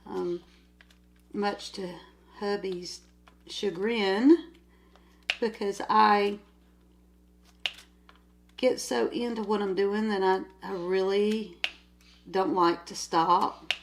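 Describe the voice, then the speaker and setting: An older woman talks at an unhurried, drawn-out pace, her voice a little nasal because she is getting over a cold. She rambles cheerfully from one thought to the next while crafting at home, with a faint hiss under her voice. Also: mid-range voice, steady tone. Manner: rambling, chatty, easily sidetracked. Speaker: crafting vlogger. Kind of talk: casual chat while diamond painting.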